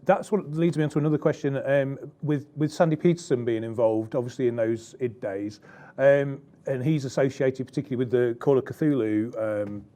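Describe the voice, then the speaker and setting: Mellow-voiced hesitant male interviewer. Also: mid-range voice, fairly even intonation, moderate pace. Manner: hesitant, many ums, agreeable. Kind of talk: hosted interview questions.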